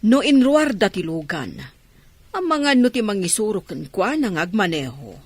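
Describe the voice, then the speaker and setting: A woman acting as an Ilocano lady of the house in a radio drama. Her medium-pitched voice moves with very lively intonation. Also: normal speed, no echo. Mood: matter-of-fact. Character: well-off mistress of the house, mother.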